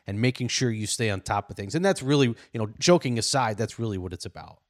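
A man's voice: lowish voice, expressive, fast, dry recording, studio quality. A man hosting an interview podcast, talking in a casual, joking, conversational way. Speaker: podcast host.

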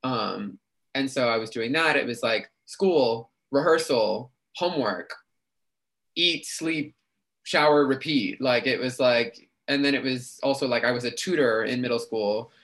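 A talkative young man, speaking at an ordinary middle pitch. He chats loosely as an interview guest, piling on fillers and quick asides. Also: a bit bright. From New York City. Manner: talkative, chatty with frequent fillers. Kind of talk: casual interview chat.

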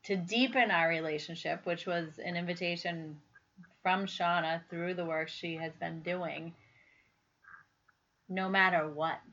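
A woman in her forties with a somewhat low voice co-hosts an earnest, heartfelt conversation. She often pauses to search for words. The sound is dull and lo-fi. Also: steady tone, pushed and bright. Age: 40s. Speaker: podcast co-host. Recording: dull lo-fi recording.

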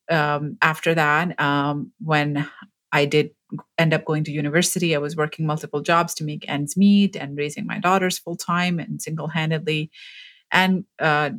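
Woman in her 40s, very low pitch, earnest, measured, pauses with fillers, interview guest. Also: steady tone, a bit bright. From Pakistan, raised in Abu Dhabi. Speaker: guest, author and medical student. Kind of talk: interview storytelling.

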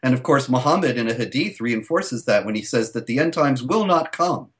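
A man with a mid-range voice speaks as an interview guest. He explains his points steadily and at length, pausing often on small fillers. His delivery stays even and matter-of-fact. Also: medium pitch. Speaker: guest, author. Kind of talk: interview answers.

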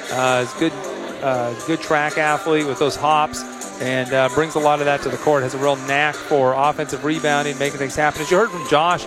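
A middle-aged American man introduces a basketball game, his voice a little hoarse. He rambles through the pregame notes with lots of fillers and restarts. Music plays clearly behind him. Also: fairly high pitch. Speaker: play-by-play announcer. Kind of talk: pregame sports broadcast commentary. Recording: music in the background.

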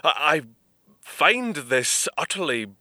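An actor playing a polite, formal young inventor from the 1930s, speaking in old-fashioned British phrasing in an audio drama. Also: male voice, fairly high pitch, very animated, slow pace, pushed and bright, no echo, heavily acted.

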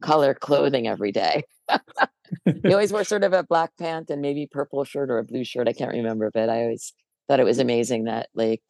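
Older American woman guest, low gravelly voice, hesitant, laughs easily, dry close sound. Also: a little fast, a little mellow, no room sound. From New Jersey, USA. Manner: reflective, hesitant, laughs often. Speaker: guest musician. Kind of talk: casual interview chat.

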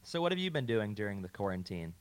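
Young man hosting, low voice. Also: sing-song, fast talker, clear, resonant tone. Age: 20s. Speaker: talk show host. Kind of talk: casual interview.

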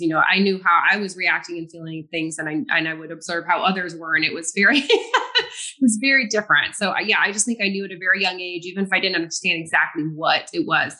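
A woman who grew up in France, with French as her first language, answers questions as an interview guest. Her voice is bright and forward, and she sounds earnest and reflective. She laughs easily as she talks. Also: lowish voice, rough recording. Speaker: guest, psychic. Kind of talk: casual interview conversation.

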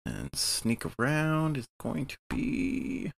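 A man's voice: mid-range voice, huge pitch swings, slow pace, vocal fry. A man playing a tabletop roleplaying game, casually and playfully describing what his character does. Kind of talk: casual improvised game narration.

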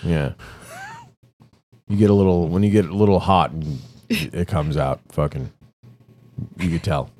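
A man's voice: low voice, steady tone, unhurried, pauses often, mellow. A man with a New York accent, co-hosting a podcast in casual, profane, rambling chat. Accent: New York, Bronx-tinged. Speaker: podcast co-host.

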